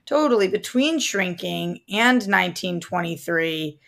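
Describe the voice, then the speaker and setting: A woman's voice: lowish voice, lively intonation, a little slow, vocal fry, a bit bright. A woman co-hosting a movie show, chatting in an informal, slangy way about films. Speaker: co-host of a movie news show. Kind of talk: casual movie discussion.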